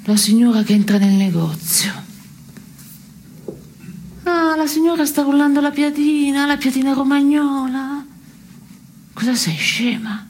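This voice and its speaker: An actress playing a disenchanted, caustic woman in absurdist stage dialogue, delivered deadpan. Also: high voice, measured pace, long pauses, a little hiss or hum. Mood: mocking. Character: disenchanted, caustic woman sparring with her male partner. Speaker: stage actress performing a two-person play.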